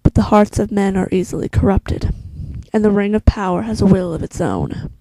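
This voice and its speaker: A woman playing a solemn, wise elf queen who narrates a fantasy monologue in a hushed, deliberate way, with a soft voice that slips toward a whisper. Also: mid-range voice, creaky, no room sound, low-quality recording. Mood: grave. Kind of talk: slow dramatic fantasy monologue.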